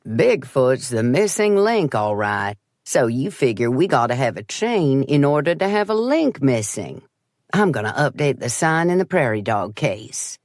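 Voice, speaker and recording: A woman voicing a gentle, stubborn older uncle with a slow, folksy, mild delivery in an audiobook. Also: very deep voice, very animated, dry recording, clean studio sound, heavily acted. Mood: pleased, earnest. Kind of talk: audiobook character voice.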